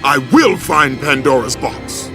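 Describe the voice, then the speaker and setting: A man's voice: mid-range voice, lively intonation, measured pace, fairly bright tone. A man playing a fierce, tormented young Spartan warrior captain in a video game, speaking in a commanding, declamatory way. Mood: defiant, wrathful. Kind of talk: dramatic video game acting. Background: dramatic music.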